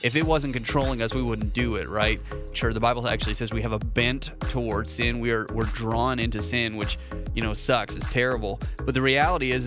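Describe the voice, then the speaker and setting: A man, a pastor, hosting a casual faith discussion podcast in an upbeat, chatty way, laughing easily as he talks. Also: medium pitch.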